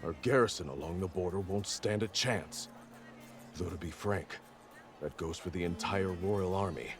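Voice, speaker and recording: An actor playing a weary, earnest army general in a video game, speaking formally and with measured care. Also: male voice, deep voice, lightly acted. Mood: weary, resolute. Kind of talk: dramatic video game voice acting. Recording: music behind the voice.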